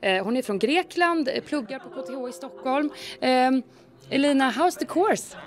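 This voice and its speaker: A woman's voice: high-pitched, animated, normal speed. A woman reporter narrating a report and interviewing a student.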